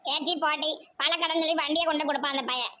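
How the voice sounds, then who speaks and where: A woman voicing a bossy, sharp-tongued village mother in an amateur cartoon comedy, chattering mockingly with a southern Tamil Nadu accent. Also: high-pitched, steady tone, very fast. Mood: dismissive.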